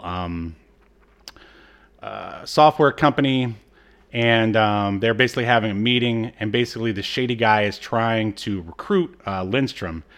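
Low-voiced man recapping casually, haltingly. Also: a bit bright, clear, resonant tone. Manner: halting, informal storytelling. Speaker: podcast co-host. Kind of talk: casual episode recap chat.

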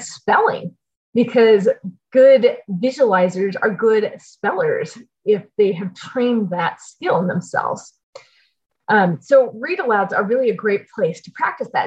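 A woman's voice: on the high side, muffled recording. A middle-aged American woman giving casual podcast advice in a chatty, explanatory way, often checking in with the listener. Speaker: podcast host giving homeschool tips.

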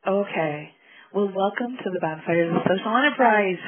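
A woman hosting an interview, hoarse from a cold, friendly and a bit unsure on names. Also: medium pitch, normal speed. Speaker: podcast host interviewing a guest. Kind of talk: casual interview. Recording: tinny phone-like line.

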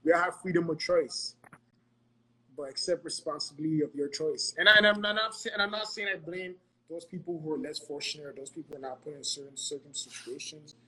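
Man from Ghana, fairly high voice, earnest podcast advice-giver who repeats points and tags them with "right?". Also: brisk, sharp tone, poor audio. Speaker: podcast co-host giving financial advice. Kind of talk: motivational casual talk.

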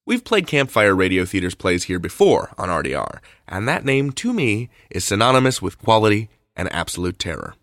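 A male podcast host gives a conversational introduction with a playful streak. His voice is on the low side, creaky at the edges and widely varied in pitch, recorded close and dry. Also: very animated, a little fast, few pauses, a bit bright, dry recording.

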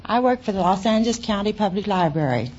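A woman's voice: mid-range voice, animated, fast talker, a little mellow, a little hiss or hum. An American woman answering as a quiz contestant on old radio, polite and a little hesitant. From Salt Lake City, United States. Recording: dull old radio recording.

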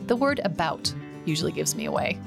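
A middle-aged woman with a slight Canadian accent hosting a scripted solo podcast, enthusiastic and emphatic, slowing down on key words. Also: deep voice, very animated, gravelly, over music. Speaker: podcast host.

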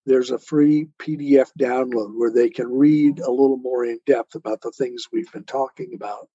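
A man's voice: mid-range voice, moderate pace, warm tone, breathy edge, no room sound. A man speaking as a podcast guest, giving conversational interview answers on a dull, muffled recording. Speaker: podcast guest, author and poet.

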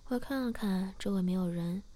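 A woman narrating a story in a soft, steady whisper. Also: medium pitch, normal speed. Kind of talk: whispered audio story reading.

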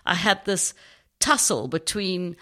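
South African woman, middle-aged, low-pitched. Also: lively intonation, slow pace, fairly bright tone. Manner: thoughtful, hesitant with many ums. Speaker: podcast guest. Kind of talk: casual interview conversation.

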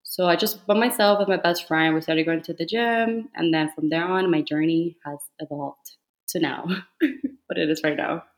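A woman's voice: low-pitched, steady tone, moderate pace. A woman in her twenties from Cuba, with a slight Cuban Spanish accent, chatting casually as a podcast guest and using lots of "like" fillers. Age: 20s. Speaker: podcast guest, fitness influencer. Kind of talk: casual chat.

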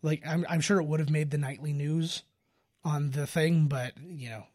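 A man with a fairly high-set voice talks casually through a film. He thinks aloud, halting and restarting his sentences. The sound is very dry, with no room around it. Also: fairly even intonation, darkish tone, dry recording. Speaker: podcast co-host. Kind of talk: casual film commentary chat.

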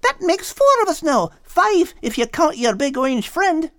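Small cheery bird, shrill high pitch, swooping intonation, folksy, affectionate, dry audiobook sound. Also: male voice, sing-song, moderate pace, a bit bright, no room sound, heavily acted. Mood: excited. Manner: folksy dialect with terms of endearment. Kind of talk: audiobook character acting.

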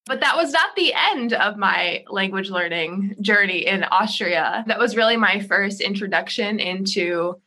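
A woman in her thirties, a teacher being interviewed, telling stories in a chatty, animated way. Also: medium pitch, measured pace, few pauses. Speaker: podcast guest, ESL teacher. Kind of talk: casual interview storytelling.

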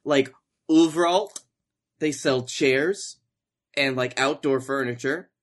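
Mid-pitched young man telling comic stories. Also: takes it slowly. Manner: wry mock-seriousness, sweary, acts out his own thoughts. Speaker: podcast co-host. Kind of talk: casual comedic storytelling chat.

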